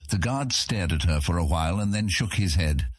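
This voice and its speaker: Male fantasy audiobook narrator: very low, rough-edged voice, animated intonation, dramatic scripted read. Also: moderate pace, no echo, studio quality.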